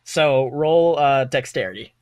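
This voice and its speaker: A man's voice: mid-range voice, expressive, a little slow, noisy background. A young man casually narrating a tabletop game as game master, playful, exclamatory and sweary. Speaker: game master and podcast host.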